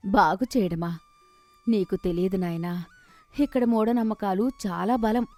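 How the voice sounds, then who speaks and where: A woman voicing a worried, superstitious village mother who gently chides, in an animated moral story. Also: medium pitch, a little slow, no echo, soft background music, lightly acted. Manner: chiding, cautioning.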